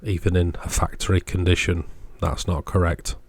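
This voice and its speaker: A man presenting a hands-on electronics repair demonstration, measured and matter-of-fact, explaining things in short phrases. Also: low-pitched, level tone, vocal fry, husky.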